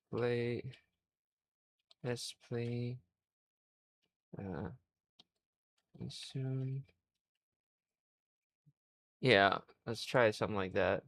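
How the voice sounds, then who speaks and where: A man in his twenties streaming live, rambling in a crude, self-mocking way and thinking out loud. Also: medium pitch, clean tone, no echo. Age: 20s. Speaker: livestreamer. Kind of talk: casual livestream chat.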